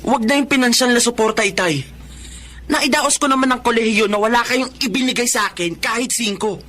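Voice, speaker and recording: A man's voice: very high-pitched, bright, forceful tone, exaggerated voice. An actor playing a young man training to be a police officer who confronts his father, in a radio-style drama.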